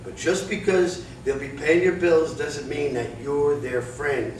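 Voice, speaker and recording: A man's voice: a little high, fairly even intonation, measured pace, natural voice. Man playing a blunt boxing manager, echoey room. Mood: blunt, resigned. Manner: blunt, cautionary, matter-of-fact. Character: world-weary, blunt boxing manager, lecturing his fighter. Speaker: actor in a staged reading. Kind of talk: staged reading of a boxing drama. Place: live staged reading in a roomy indoor space. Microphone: distant video camera. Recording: echoey, noisy room, distant camera microphone.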